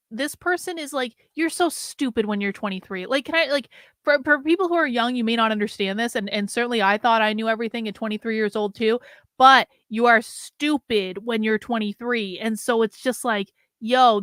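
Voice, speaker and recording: A woman's voice: on the high side, normal speed, fairly bright tone, dry recording, clean studio sound. A woman hosting a reaction show, talking bluntly in rambling asides with lots of filler and the odd swear word. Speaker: commentary host reacting to a clip. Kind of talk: casual reaction commentary.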